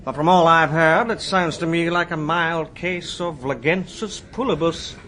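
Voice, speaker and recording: An actor playing a knowing surgeon passenger who speaks in a measured, confiding way, in a radio drama. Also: male voice, on the high side, bright, forceful tone.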